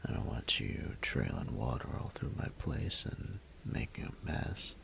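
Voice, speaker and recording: A man playing a smug, teasing young bully in an intimate audio roleplay, with a breathy, whispery, gravelly voice and a slow, taunting drawl. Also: rumbling low, big pitch swings, noisy background, exaggerated voice. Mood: playful, commanding.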